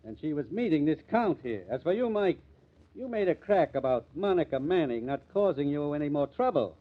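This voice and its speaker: An actor playing a shrewd, suspicious police captain in a radio drama, probing and authoritative with a dry manner. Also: male voice, on the high side, big pitch swings, brisk. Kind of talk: 1950s radio drama acting. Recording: tinny vintage radio recording.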